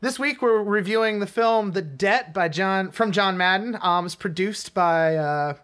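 A man's voice: high voice, unhurried, fairly bright tone, smooth. A man co-hosting a film podcast in casual conversation.

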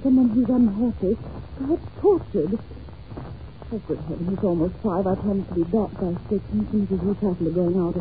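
An actress playing a timid, well-mannered young governess in an old-time radio drama, speaking in a breathless, polite, melodramatic way. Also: female voice, mid-range voice, normal speed, close to an everyday voice. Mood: anxious, earnest. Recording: muffled noisy old radio recording.

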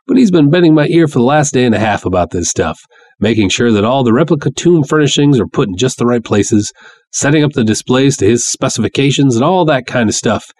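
A man's voice: mid-range voice, expressive, a little fast, a little mellow, no room sound, pitched above the performer's own voice, natural voice. A man voicing a brash, jovial, sly showman promoter with folksy salesman patter in a pulp story reading. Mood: confiding. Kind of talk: dramatic reading of pulp fiction.

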